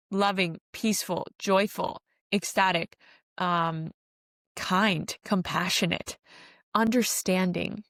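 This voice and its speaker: A woman's voice: mid-range voice, fairly bright tone, no room sound. A woman giving an informal spiritual self-help monologue as a podcast host, speaking earnestly and repeating phrases for emphasis.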